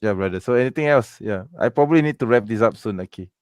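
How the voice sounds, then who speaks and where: A man's voice: on the low side, expressive, quick pace, no echo, studio quality. A man hosting a livestream Q&A, chatting casually and filling gaps with "okay" and "yeah". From Malaysia. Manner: many affirming fillers, frequent "okay". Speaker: livestream host. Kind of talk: casual chat.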